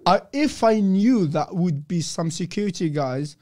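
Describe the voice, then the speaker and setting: A man telling a story in casual chat in a studio, halting and repeating his words, swearing casually. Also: high-pitched, expressive, mellow, no room sound. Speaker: podcast guest telling a story.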